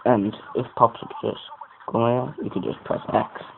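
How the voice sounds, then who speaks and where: A man talks through a casual product review in a croaky, gravelly voice that sits fairly low. He is hesitant and rambling, making it up as he goes. The recording is narrow and phone-like, with a faint rumbling noise underneath. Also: fairly even intonation, moderate pace. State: croaky voice today.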